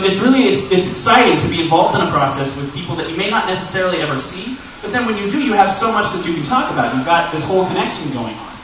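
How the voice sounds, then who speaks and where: An American man giving an informal live panel talk to a convention audience, animated and conversational, with self-deprecating jokes. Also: high-pitched. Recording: echoey, dull, noisy room from far off.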